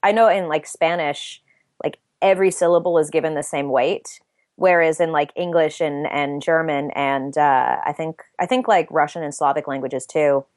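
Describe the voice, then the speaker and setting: Soft-voiced woman with a soothing tone. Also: sits low, steady tone, moderate pace, dry recording, studio quality. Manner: soft, soothing, thoughtful, many restarts. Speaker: interview guest, writer and voice actor. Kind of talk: casual interview chat.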